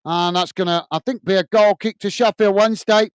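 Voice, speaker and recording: A British man with a high, tiring, slightly hoarse voice calls the match in a steady running commentary for his fan channel. His voice is smooth and clear, recorded close and dry with no room sound. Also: fairly quick, dry recording. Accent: British English. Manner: steady running commentary, talks to viewers as guys. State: voice tired and going hoarse. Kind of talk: live watch-along play-by-play commentary.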